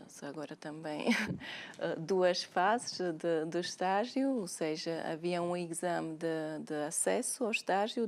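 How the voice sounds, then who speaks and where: A woman lawyer with a Slovak accent, a Slovak native speaker, answering interview questions in a measured, hesitant way with frequent fillers and restarts. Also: lowish voice. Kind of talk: interview conversation.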